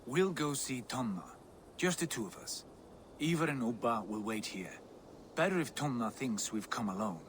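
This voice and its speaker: A man playing a serious, decisive Viking leader in a video game, with a measured, commanding manner. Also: mid-range voice, expressive, a little hiss or hum, close to an everyday voice. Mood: composed. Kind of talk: dramatic video game acting.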